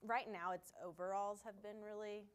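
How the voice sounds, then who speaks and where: A woman, co-hosting a casual talk show, speaking haltingly with fillers and restarts. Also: medium pitch, steady tone, clear, resonant tone. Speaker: co-host. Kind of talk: casual chat.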